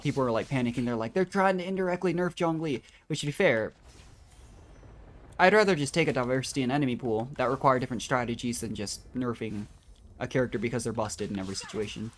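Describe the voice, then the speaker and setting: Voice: medium pitch, lively intonation, quick pace, slight background noise. A game streamer thinking aloud in casual, musing commentary while playing. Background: faint game music.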